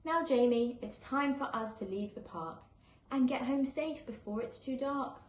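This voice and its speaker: A woman's voice: high-pitched, measured pace. A woman voicing a kind, encouraging young girl in a children's storybook, coaxing and caring. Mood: caring, cheerful. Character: kind, encouraging young girl helping her sad friend. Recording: echoey room with faint background noise.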